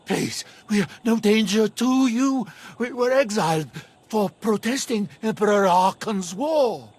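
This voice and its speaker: Game exile: mid-pitched woman, earnest, pleading civilian. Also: animated, a little slow, a little mellow. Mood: desperate, pleading. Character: desperate, pleading female exiled refugee. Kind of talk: video game voice acting.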